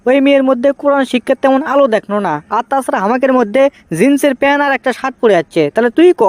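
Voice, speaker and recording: A man's voice: very high-pitched, racing, dry recording. A man voicing a village father who scolds and coaxes his grown son, in comic cartoon dialogue with a Bogura accent from northern Bangladesh. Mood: soothing. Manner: bossy, scolding, then coaxing.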